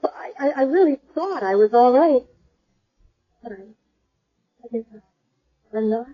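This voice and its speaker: An actress playing a timid, bewildered young woman with amnesia in a 1970s radio drama, speaking in a halting, hesitant way. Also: sits high, expressive. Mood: tentative. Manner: hesitant, halting, repeating words. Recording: dull lo-fi old radio broadcast.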